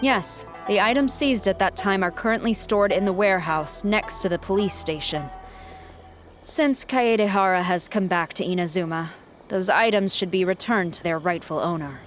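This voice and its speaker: A woman's voice: mid-range voice, normal speed, close to an everyday voice. Woman voicing a strict honorable lady general in a game, formal and reserved, music behind her. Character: stern, dutiful female military commander, formal and honorable. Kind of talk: video game voice acting.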